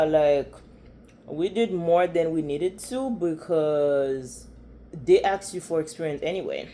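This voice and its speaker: Low-voiced opinionated woman with Haitian accent. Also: a little mellow, a little hiss or hum. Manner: blunt, opinionated, chatty with many fillers. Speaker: commentary vlogger. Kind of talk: casual reaction commentary.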